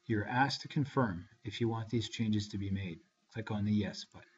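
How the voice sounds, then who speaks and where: A man narrating a step-by-step software tutorial in a measured, instructional way. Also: on the low side. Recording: dull, lo-fi screencast voice-over.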